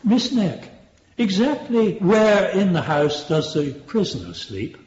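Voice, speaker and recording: A man's voice: sits high, very animated, slow pace, darkish tone, exaggerated voice. An actor playing a stern, sceptical male Victorian prosecuting barrister in a radio courtroom drama, questioning formally and pointedly. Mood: probing. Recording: roomy, slightly muffled courtroom sound.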